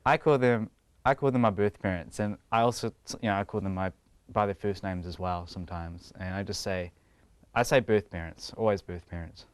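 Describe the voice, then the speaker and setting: A young man with a New Zealand accent talks casually through an interview, often laughing and restarting his sentences. His voice sits a bit low and comes across smooth and clear. The sound is dry, with no room echo. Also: brisk.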